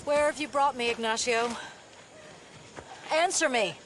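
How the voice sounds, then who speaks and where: A woman playing a skeptical, determined federal agent and doctor in an audio drama, speaking in a measured, questioning way. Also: on the high side, fairly bright tone, a little hiss or hum, poor audio. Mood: wary, demanding. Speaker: FBI special agent and doctor. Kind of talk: immersive audio drama acting.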